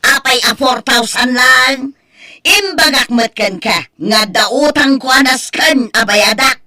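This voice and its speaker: Woman playing an Ilocano-accented complaining mother, high and tense. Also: takes it slowly, bright, forceful tone, dry recording, studio quality, full character voice. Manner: demanding, complaining. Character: scolding older mother who gambles, money-hungry. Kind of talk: Ilocano radio-style drama acting.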